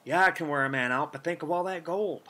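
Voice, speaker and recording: Bright, excitable workman acted by a man. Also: a little high, fast talker. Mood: joking. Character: excitable, easygoing male workman with gold dreams. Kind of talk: radio mystery play acting.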